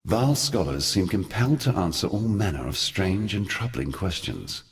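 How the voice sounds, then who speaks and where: Ancient male god, extremely low voice, solemn, scornful, measured theatrical video game narration. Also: expressive. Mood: grave, brooding.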